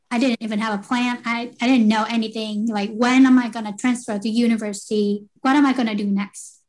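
A woman's voice: on the high side, low-quality recording. Earnest young woman from Vietnam. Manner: earnest, with small repeats. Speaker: guest, electrical engineer. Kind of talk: interview storytelling.

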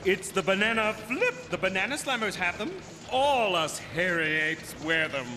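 A man's voice: sits high, lively intonation, moderate pace, bright, forceful tone, slight background noise. A man voicing a vain, pushy ape boss in a cartoon, smug, pompous and theatrical. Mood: boastful. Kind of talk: animated cartoon acting.

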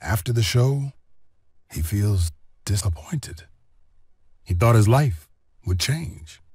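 Expressive, unhurried male storybook narrator. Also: on the low side, no room sound, studio quality. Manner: expressive, deliberate storytelling delivery with clear phrasing. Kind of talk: scripted read-along children's story narration.

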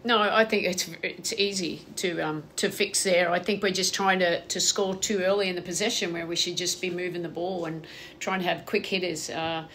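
A woman head coach giving measured postgame press answers on a table mic in a press room. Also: fairly low pitch, fairly even intonation, fairly bright tone, a little hiss or hum.

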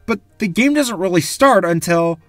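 A man's voice: sits high, normal speed, faint music behind. A young man giving enthusiastic, gushing scripted commentary as a video game reviewer. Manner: gushing, animated.

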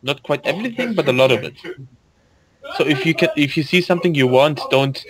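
A man's voice: fairly high pitch, animated, fairly quick, a crowd in the background, rough recording. A man chatting casually with friends in a voice call while playing an online game, restarting his sentences often. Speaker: gamer in voice chat.

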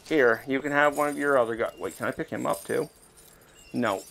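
A man's voice: medium pitch, a little fast, creaky, a bit bright, low-quality recording. A man hosting a gaming video, giving casual, chatty commentary and thinking aloud as he plays. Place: indoors, playing in a VR headset in front of a camera. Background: game music.

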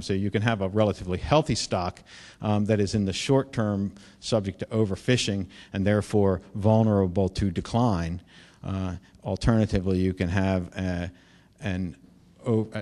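A middle-aged man giving a conference keynote, explaining with frequent fillers and restarts, growing louder on key points. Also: on the low side. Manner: halting, many fillers and restarts. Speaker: keynote speaker. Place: lecture hall. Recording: reverberant lecture hall.

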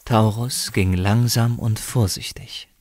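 A man narrating a scripted fantasy story dramatically, with measured, suspenseful phrasing and pauses. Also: on the low side, steady tone, darkish tone, dry recording. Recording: slight background noise.

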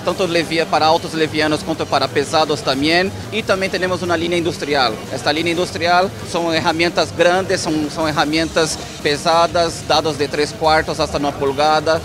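A man with a Brazilian accent presenting products as an interview guest at a trade expo. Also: fairly high pitch. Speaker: export manager, interview guest. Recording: music under the voice. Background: background music.

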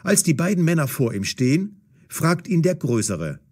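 A man's voice: fairly high pitch, sing-song, moderate pace, a little mellow. A man narrating a scripted story for language learners in short, dramatic sentences.

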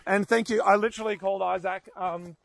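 High-pitched, creaky-voiced Australian man. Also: fairly even intonation, fairly quick, fairly bright tone. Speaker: vlogger hosting an outdoor rescue video. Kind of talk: casual vlog chat to camera. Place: outdoors in bushland. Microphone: handheld camera at arm's length.

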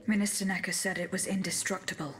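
A woman's voice: medium pitch, fairly even intonation, a bit bright, close to an everyday voice. Woman voicing a composed automaton lady, noisy background. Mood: composed, formal. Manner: measured, formal, restrained. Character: courteous female automaton bodyguard, composed and formal. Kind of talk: video game cutscene acting.

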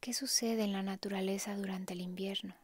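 A woman softly narrating a guided meditation in a hushed, calm, breathy way, leaving long pauses. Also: medium pitch, no echo.

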